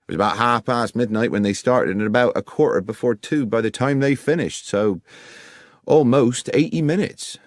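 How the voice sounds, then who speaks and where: A man's voice: medium pitch, normal speed, dry recording, studio quality, lightly acted. A man voicing an amiable, deferential railway foreman in his fifties, plain-spoken and respectful, for an audiobook. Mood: helpful, respectful. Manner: plain-spoken, respectful, working-class turns of phrase.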